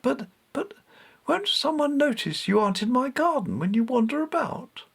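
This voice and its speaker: Storybook schoolboy, played by a grown man: worried, protesting, urgent, pitched well up. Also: big pitch swings, normal speed, gravelly, breathy edge, full character voice. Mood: anxious, stammering. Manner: urgent, incredulous. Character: anxious young boy, alarmed and protesting.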